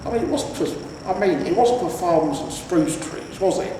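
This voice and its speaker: Man acting an old world-weary barbarian hero, storybook, wistful, hesitant, higher pitch, far from mic. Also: expressive, moderate pace, dark tone. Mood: troubled. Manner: wistful, hesitant, plain-spoken. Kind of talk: storybook character reading. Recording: echoey reverberant room, distant, faint hiss.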